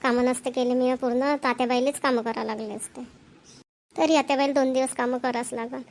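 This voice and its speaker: A woman as high-voiced, caring young wife. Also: fairly even intonation, brisk, slight background noise. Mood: content. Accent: Varhadi Marathi, Vidarbha. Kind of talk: cartoon family comedy dialogue.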